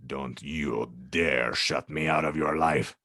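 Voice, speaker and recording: A man voicing a charismatic, sinister Spanish insurance salesman who claims to be a mystic killer, in slow, solemn boasts. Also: extremely low pitch, steady tone, sharp tone, dry recording, studio quality, voice pushed down, full character voice. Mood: possessive pleading.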